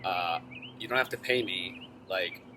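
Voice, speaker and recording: A man's voice: sits low, a little slow. A young man from Northern Virginia, a street artist, talking casually and candidly in an interview, rambling a little, swearing freely and acting out what other people said. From Northern Virginia, USA. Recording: noisy background ambience.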